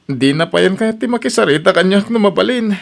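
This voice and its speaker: Creaky-voiced, remorseful young husband, acted by a man. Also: high-pitched, expressive, brisk. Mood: dejected, pleading. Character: remorseful young husband pleading with his wife. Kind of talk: radio-style melodrama acting.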